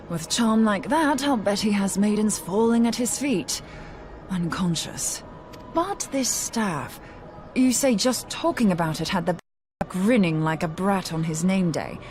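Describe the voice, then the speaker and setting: Unhurried, sardonic fantasy warrior. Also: female voice, mid-range voice, a little mellow, slight background noise. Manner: wry, blunt, colloquial. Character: brash, sardonic female fantasy warrior, plain-spoken. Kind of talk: video game character acting.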